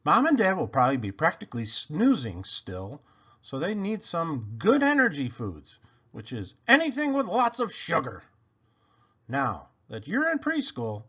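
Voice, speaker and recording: A man reads a picture book aloud for children with playful emphasis. His voice sits a little high and swings widely up and down. The recording is slightly dull and lo-fi. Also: huge pitch swings, a little slow, sharp tone, slightly rough. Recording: dull, lo-fi sound.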